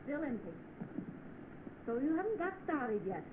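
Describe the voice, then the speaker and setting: Old film office girl: kind, practical, composed, higher voice, noisy soundtrack. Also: very animated, a little slow, narrow, tinny audio. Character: kind, practical young office woman, quietly worried. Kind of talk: old film drama acting.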